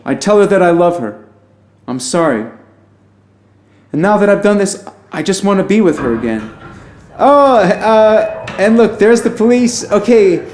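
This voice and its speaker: A man's voice: high-pitched, sing-song, a little slow, pauses often, darkish tone, roomy sound, exaggerated voice. An actor playing an earnest, rambling alien man running for president in an audio drama, with a hesitant, wry, conversational manner. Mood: tender, regretful.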